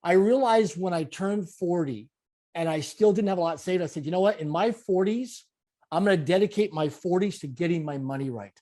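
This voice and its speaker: Canadian guy late 40s, high voice but mellow, keeps hammering his points in an interview. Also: moderate pace. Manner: emphatic, repetitive motivational phrasing. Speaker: guest. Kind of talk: conversational interview.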